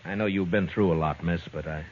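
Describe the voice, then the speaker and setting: A man's voice: sits low, fairly even intonation, a little fast, natural voice. An actor playing a tough, laconic lawman of the Old West in a 1950s radio western, with a terse, blunt, questioning way of talking. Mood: measured. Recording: dull, noisy old radio recording.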